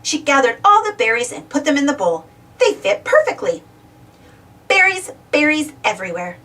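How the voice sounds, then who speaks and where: Female children's read-aloud narrator, high register, hugely lively intonation, slightly distant mic. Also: moderate pace. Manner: expressive, simple delivery for young children. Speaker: storybook reader.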